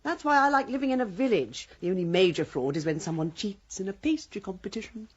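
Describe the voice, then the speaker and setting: Kindly, lilting village gossip. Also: female voice, mid-range voice, animated, brisk, husky, dull audio. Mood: cheerful, gossipy. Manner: genteel, cheerful. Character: kindly, gossipy village wife, gentle and approving. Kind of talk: radio drama acting.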